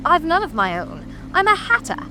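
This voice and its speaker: A woman's voice: very high voice, sing-song, normal speed, music in the background. A woman voicing a poor, humble, muddled hatter who pleads anxiously in a storybook dramatization. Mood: defensive. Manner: trembling, anxious. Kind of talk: dramatized audiobook.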